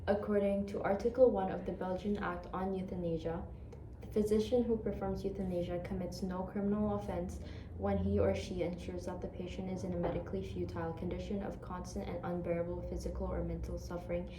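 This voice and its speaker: A woman's voice: fairly low pitch, flat delivery, a little fast, noisy background. A young woman from Indonesia, a law student, steadily reading case facts and legal articles aloud. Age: young adult. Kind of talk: read-aloud presentation. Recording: echoey room, a bit far from the mic.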